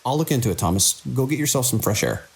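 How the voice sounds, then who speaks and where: An actor playing a weary but measured hospital director in an audio drama, speaking with authority as he reasons things through. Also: mid-range voice, lively intonation, slightly rough. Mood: reassuring, firm. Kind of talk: radio drama acting.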